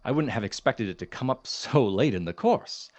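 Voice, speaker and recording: An actor playing a courteous, dismissive chemistry professor in an audio drama, speaking in a formal, measured, gently condescending manner. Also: male voice, medium pitch, lively intonation, lightly acted. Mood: dismissive, patronizing. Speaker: substitute lecturer. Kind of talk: audio drama, lecture and office talk.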